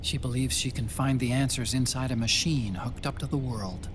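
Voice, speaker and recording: Casting: male actor as earnest, hesitant geek scientist, gentle and melancholy, mid-pitched, game drama, over clear vehicle noise. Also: normal speed, lightly acted. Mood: somber, tender. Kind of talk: video game cutscene acting.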